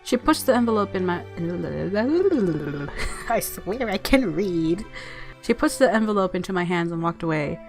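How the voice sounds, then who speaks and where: A woman's voice: lowish voice, lively intonation, measured pace, darkish tone, soft background music. A woman narrating a visual novel aloud as a let's-player, mixing story reading with casual commentary.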